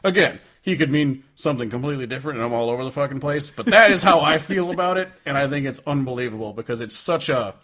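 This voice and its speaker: A man's voice: medium pitch, animated, fast talker, hardly any pauses, bright, forceful tone. Emphatic, rambling, swearing male podcast co-host. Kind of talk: casual music discussion. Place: indoors, remote call between hosts.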